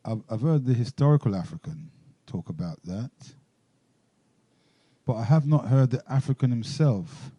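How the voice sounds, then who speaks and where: A man hosting a radio talk show, asking questions in a measured way, often halting and restarting. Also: mid-range voice, lively intonation, dark tone, breathy edge, no room sound, lo-fi sound.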